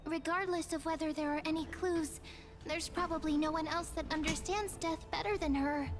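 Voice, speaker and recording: A woman plays a tiny fairy-like game companion with an extremely high, cute voice. The creature speaks in an eager, childlike way, earnest and caring, with music playing underneath. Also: fairly even intonation, normal speed, close to an everyday voice. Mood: concerned, helpful. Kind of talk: video game voice acting.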